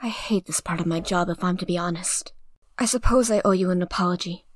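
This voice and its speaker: A woman voicing a stern, composed guild mistress creature in a comic dub, speaking formally and with measured authority. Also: lowish voice, dry recording, natural voice. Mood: regretful. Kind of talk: fan comic dub voice acting.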